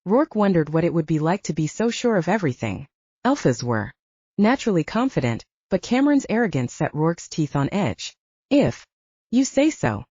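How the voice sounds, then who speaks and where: A woman's voice: on the low side, sing-song, brisk, a little mellow, no room sound. A woman reading a scripted story as an audiobook narrator, in slightly dull audio.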